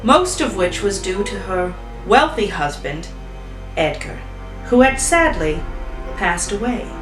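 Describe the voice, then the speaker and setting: A woman's voice: medium pitch, animated, takes it slowly. A woman casually making up and telling a story, chatty and thinking aloud. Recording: roomy echo from a distance, faint music.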